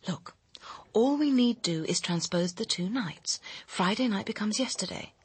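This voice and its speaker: An actress playing a cool, manipulative woman who insistently coaches her lover in a radio drama, with a husky, controlled voice. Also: medium pitch, lively intonation, a little slow, dry recording. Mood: firm, insistent.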